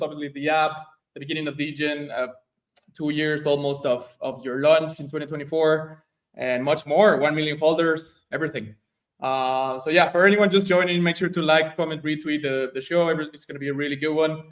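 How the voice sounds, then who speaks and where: An upbeat male host with a fairly high voice talks in a chatty, loose way. He sits a bit back from the microphone, so he sounds slightly distant. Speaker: podcast host. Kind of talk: casual show host intro. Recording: voice a bit far from the mic.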